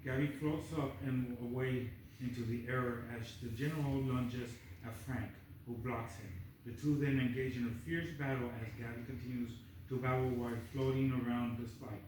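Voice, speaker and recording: A man's voice: medium pitch, fairly even intonation, normal speed, darkish tone, distant mic. A man reading screenplay stage directions aloud as the narrator at a table read. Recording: echoey room, off-mic, faint noise.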